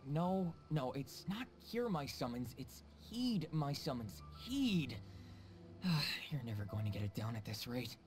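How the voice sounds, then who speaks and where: Exasperated editor, dry and lecturing, lively pitch. Game dialogue over soft music. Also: male voice, measured pace. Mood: weary. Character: patient, exasperated male book editor. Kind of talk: video game voice acting.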